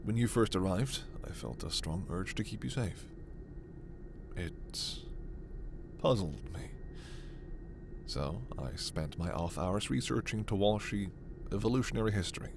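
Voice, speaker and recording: A man playing a gentle, scholarly, protective alien doctor in a sci-fi ASMR roleplay, speaking in a measured, formal, precise way. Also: fairly low pitch, animated, gravelly, background noise. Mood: explanatory, earnest.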